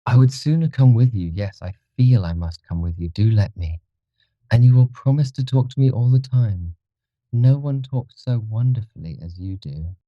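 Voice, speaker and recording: A man voicing an eager, adoring young gentleman in an audiobook, softly pleading. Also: medium pitch, expressive, normal speed, dry recording, muffled recording, pitched above the performer's own voice, natural voice. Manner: soft, pleading. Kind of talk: audiobook character dialogue.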